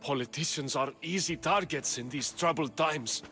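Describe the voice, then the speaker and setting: Casting: fretful ancient Athenian politician in a game, wordy and theatrical, bright highish voice, music behind. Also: male voice, moderate pace. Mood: aggrieved. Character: frightened, indignant male Athenian politician, dramatic and grateful. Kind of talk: video game voice acting.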